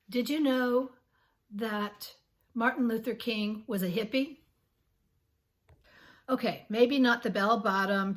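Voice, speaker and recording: A woman in her sixties hosts her own channel, her voice scratchy and a little worn. She reads her reflections slowly and earnestly in a soft tone, pausing now and then to clear her throat. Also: medium pitch. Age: 60s. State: scratchy voice from illness. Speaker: channel host. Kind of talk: reflective reading to camera.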